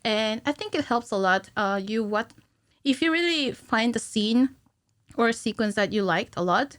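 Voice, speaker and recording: A woman in her thirties explaining things informally and haltingly as a guest in a casual studio interview. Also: on the high side, lively intonation, fairly bright tone. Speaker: podcast guest, cinematic animator. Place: small podcast studio indoors. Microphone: studio microphone on stand, with headphones.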